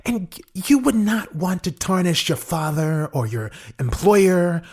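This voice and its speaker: A man playing an uneasy, formal, calculating inspector in an audio drama, officious and halting as he questions a prisoner. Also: high voice, lively intonation, darkish tone, slightly rough, full character voice. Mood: agitated, persuasive.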